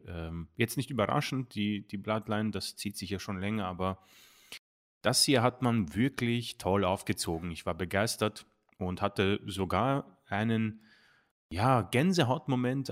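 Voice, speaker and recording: Low-voiced man, halting. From Austria. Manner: halting, many ums and uhs, thinks aloud. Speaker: podcast co-host. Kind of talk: casual podcast chat.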